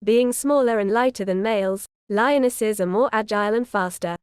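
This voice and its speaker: Smooth, clear woman reading aloud. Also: medium pitch, moderate pace, darkish tone, no room sound, studio quality. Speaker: reader of practice passages. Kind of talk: scripted read-aloud narration.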